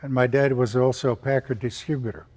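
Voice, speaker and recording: Older man in his nineties, measured. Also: mid-range voice, steady tone, a little mellow. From Slovakia. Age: 90s. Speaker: guest. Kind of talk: casual interview.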